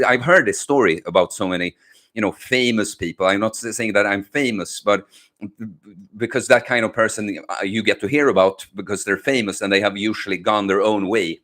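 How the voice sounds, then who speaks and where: Low-voiced young man chatting on a livestream. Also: a bit bright. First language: Swedish. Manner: halting, many fillers and restarts. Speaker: livestream host answering chat. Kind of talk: casual livestream chat.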